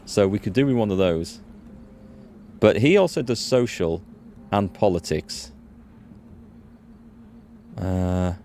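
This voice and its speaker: A man's voice: on the low side, a little mellow, slight background noise. A man giving casual let's play commentary as host, explaining things and thinking aloud as he goes. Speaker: gaming video host. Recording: music behind the voice. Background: music.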